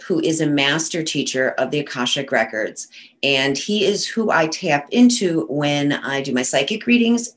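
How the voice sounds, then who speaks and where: Low-voiced woman with a stuffy cold. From Western New York. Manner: chatty, loose, many ums and uhs. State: nasal from a cold. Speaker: psychic medium guest. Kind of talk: casual talk-show chat.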